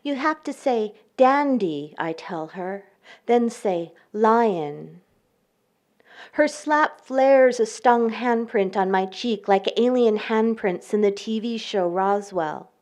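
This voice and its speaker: A woman poet reading her work aloud at a live event, with measured pacing and careful pauses between lines. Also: a little high, animated, a little mellow. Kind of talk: poetry reading with spoken introductions.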